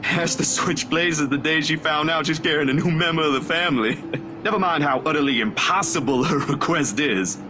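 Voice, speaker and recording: A man's voice: fairly high pitch, moderate pace, background noise, close to an everyday voice. A man voicing an easygoing photographer friend in a visual novel, casual, reassuring and slightly hesitant. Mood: teasing, casual. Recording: music behind the voice.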